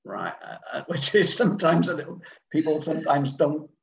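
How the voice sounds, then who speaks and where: A man's voice: on the high side, lively intonation, normal speed, vocal fry, slight background noise. A middle-aged Welsh man from Cardiff chatting casually as a podcast guest, rambling with lots of ums, uhs and restarts. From Wales. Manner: rambling, hesitant, many fillers and restarts. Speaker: guest, event organiser. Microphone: remote online call. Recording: dull lo-fi online call audio.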